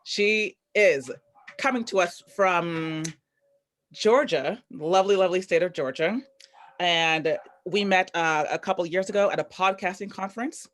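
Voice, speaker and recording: A woman's voice: lowish voice, measured pace. A woman hosting a live online storytelling event over a video call, upbeat and chatty with loose, rambling phrasing. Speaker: host.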